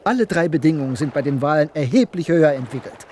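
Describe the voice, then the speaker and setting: An actor playing an obsessed, resolute whale researcher and diver in a German radio play. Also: male voice, on the high side, lively intonation, quick pace, mellow, slight background noise, lightly acted. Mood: fervent.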